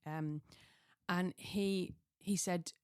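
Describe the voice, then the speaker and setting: A slightly hoarse woman chatting casually and solo as a podcast host, rambling and self-deprecating, often wandering off on tangents. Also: fairly low pitch, fairly even intonation, very slow, no room sound, clean studio sound. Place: small home office.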